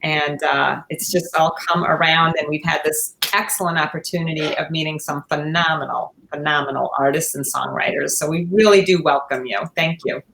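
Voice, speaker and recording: A woman's voice: deep voice, steady tone, normal speed, creaky, hardly any pauses, fairly bright tone, slight background noise, low-quality recording. A woman co-hosting a podcast, chatting casually in a gushing, welcoming way. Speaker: podcast co-host. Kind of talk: casual chat.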